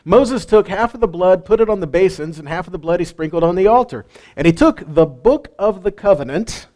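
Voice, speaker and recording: Animated man teaching Bible lessons. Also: sits high, fairly quick. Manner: animated, asks rhetorical questions, jokes with listeners. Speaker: teacher of a weekly Torah portion.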